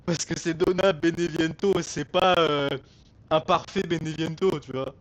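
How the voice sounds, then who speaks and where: A man with a fairly high voice chats casually while gaming with friends. He drops quick, teasing remarks and explains things in an offhand way. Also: fairly even intonation. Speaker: co-player on a voice call.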